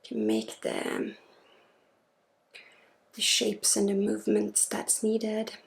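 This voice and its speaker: A woman gives a spiritual ASMR healing session, speaking softly and informally with pauses and often dropping into a breathy whisper. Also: mid-range voice, big pitch swings. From Sweden. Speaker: spiritual healer and ASMR host.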